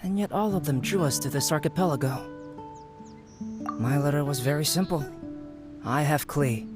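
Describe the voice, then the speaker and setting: A man playing a calm young alchemist in a video game, speaking in a measured, polite and understated way. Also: a little high, lightly acted. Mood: composed. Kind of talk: video game cutscene acting. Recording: guitar music playing behind the voice.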